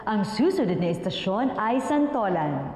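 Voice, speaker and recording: A woman playing a formal, courteous train station announcer with measured, lilting delivery. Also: medium pitch, sing-song, reverberant, long reverb tail, music in the background, close to an everyday voice.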